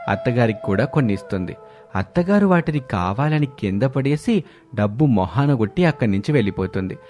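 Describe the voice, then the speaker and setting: A man's voice: medium pitch, expressive, brisk, a little mellow, faint music behind. A man working as narrator, reading a scripted story in the third person.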